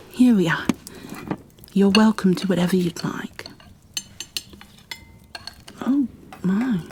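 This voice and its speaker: A woman playing a gentle, shy, bookish bookkeeper in an ASMR roleplay, soft-spoken and half-whispering, with a hesitant, apologetic way of talking. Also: mid-range voice, noisy background, lightly acted. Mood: caring. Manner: hesitant, apologetic, halting, careful. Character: gentle, shy, bookish female creature bookkeeper, peace-loving and kindly.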